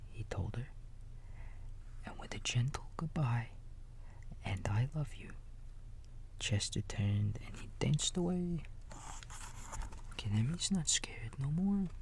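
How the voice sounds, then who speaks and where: Slow, whispering ASMR man. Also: medium pitch. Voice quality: soft, breathy whisper. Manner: gentle, soothing, big-brotherly. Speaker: ASMR roleplay babysitter reading a bedtime story. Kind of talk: whispered ASMR storybook reading with casual asides.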